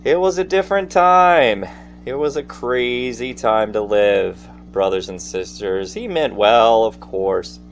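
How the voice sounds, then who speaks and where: Animated male storyteller with a theatrical, folksy delivery. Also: mid-range voice, takes it slowly. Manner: theatrical, folksy, addressing listeners directly. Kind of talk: casual personal storytelling monologue to an audience. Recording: faint background noise.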